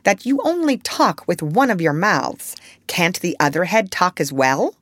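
A woman voicing a bossy, practical female duck in a storybook, nagging in a brisk, exclamatory way. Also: fairly low pitch, big pitch swings, no room sound. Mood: inquisitive.

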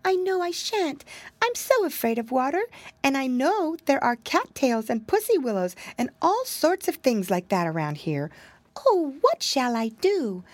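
A woman's voice: high voice, very animated, measured pace, dry recording, voice pushed up. Woman doing a timid little girl mouse. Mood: fearful. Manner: fretful, breathless worrying.

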